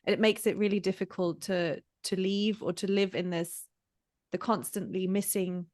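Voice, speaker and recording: A woman's voice: mid-range voice, dry recording. Reflective woman podcast host, dry sound. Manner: reflective, searching for words. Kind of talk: casual interview conversation.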